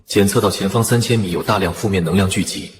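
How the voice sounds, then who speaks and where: An actor playing a sardonic, world-weary young prince in an animated fantasy drama, with wry, unhurried asides. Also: male voice, deep voice, natural voice. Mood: wry, calm. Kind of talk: Chinese animated fantasy drama acting with inner monologue.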